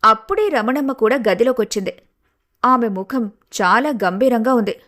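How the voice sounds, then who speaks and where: A woman with a moderately high voice serves as narrator. She reads a scripted family story, linking the scenes between the characters' dialogue. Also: normal speed, a bit bright.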